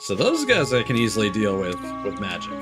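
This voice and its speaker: A man chats casually as he streams a video game, tossing in playful jokes. His pitch swings in a lively way, and game music plays clearly behind him. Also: fairly quick. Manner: joking, playful asides. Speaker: live streamer.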